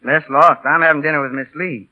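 Actor as cocky flyer, slightly high voice, tinny radio. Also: male voice, steady tone, brisk, close to an everyday voice. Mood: breezy, flirtatious. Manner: breezy banter. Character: cocky, easygoing flirtatious male mail pilot. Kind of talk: 1930s radio drama acting. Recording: tinny old radio broadcast.

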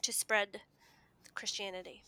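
A woman's voice: medium pitch, flat delivery, unhurried, pushed and bright, slight background noise. A woman from the United States, speaking as a podcast guest and explaining things in a casual, conversational way.

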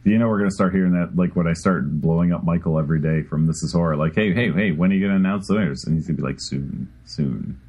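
A man's voice: low voice, fast talker, hardly any pauses, a little mellow, slightly rough. A man co-hosting a podcast, rambling, interrupting himself, and laughing between words. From Chicago area. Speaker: podcast co-host. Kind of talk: casual podcast chat.

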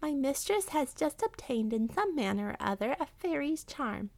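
A woman's voice: high-pitched, sing-song, moderate pace, gravelly, darkish tone, pitched above the performer's own voice, heavily acted. A woman voicing an anxious, honourable male rat in a children's story, pleading in wordy, very polite phrases. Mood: confiding. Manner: pleading, wordy, formally courteous. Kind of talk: children's animal storybook character.

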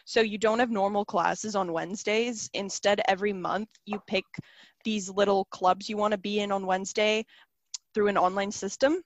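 A woman's voice: mid-range voice, fairly even intonation, moderate pace, dry recording. Chatty young woman, dry close sound. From South Africa. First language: Serbian. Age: young adult. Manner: chatty, many fillers like "like" and "um". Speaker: guest. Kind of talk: casual interview conversation.

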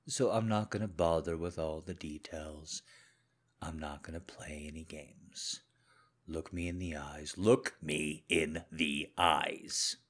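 A man voicing a controlling husband who confronts his wife in an audio roleplay, speaking slowly and dropping into hushed whispers. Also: deep voice.

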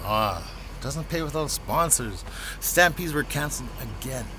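A man playing a hesitant, apologetic landowner in an audio drama, talking in a halting, low-key, indirect way. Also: lively intonation, background noise, close to an everyday voice. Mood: defensive, weary. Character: hesitant, apologetic male landowner asking for money. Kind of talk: naturalistic audio drama dialogue.

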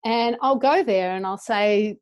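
A woman's voice: medium pitch, unhurried. A woman educator explaining her ideas as an interview guest in an earnest, passionate way.

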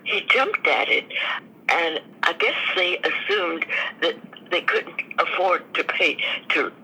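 A woman in her nineties, a veteran guest, slowly recalling her life in an interview with many small restarts. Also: fairly low pitch, pushed and bright, breathy edge, poor audio. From United States. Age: 90s. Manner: slow, thoughtful storytelling with restarts. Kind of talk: reminiscing interview answers.

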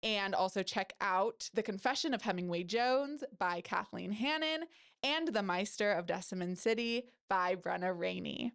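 A woman's voice: mid-range voice, normal speed, vocal fry, fairly bright tone, clean tone. A woman podcast host with a stuffy nose, reading a story aloud and chatting effusively with her guests. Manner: effusive, upbeat, laughs often. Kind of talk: reading a story aloud, then casual chat.

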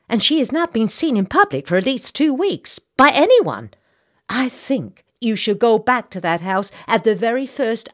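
A woman's voice: on the high side, fairly bright tone, pitched above the performer's own voice. A woman voicing a fretful, bossy, gossipy Southern society matron in an audiobook, with an American Southern accent and an indignant, insistent manner.

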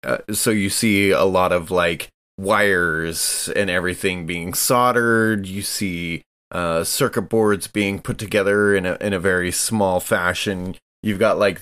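A man playing a tabletop game, casually improvising a description of what his character does, haltingly, searching for words. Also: low-pitched, fairly bright tone, clear, resonant tone.

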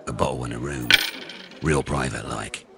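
Man playing a very deep, gravelly brutish thug. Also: steady tone, normal speed, a loud crowd, heavy background noise. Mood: surly, demanding. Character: gruff, brutish lovelorn thug named Bungie. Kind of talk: audio drama acting.

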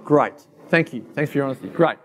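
A hoarse man in his thirties giving a fast, animated motivational talk to an audience. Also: medium pitch. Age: 30s. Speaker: keynote speaker.

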